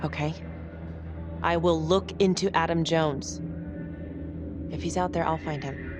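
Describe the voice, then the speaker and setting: An actress playing a guarded, determined prosecutor in a crime thriller game, speaking in a dry, measured way. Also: female voice, sits low, steady tone. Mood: resolute, measured. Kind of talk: naturalistic dramatic game acting. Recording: background music under the voice.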